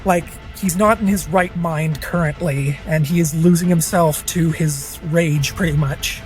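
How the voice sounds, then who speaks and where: A mid-pitched player explains a turn casually and quickly. Faint music plays behind. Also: low-quality recording. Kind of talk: tabletop game play.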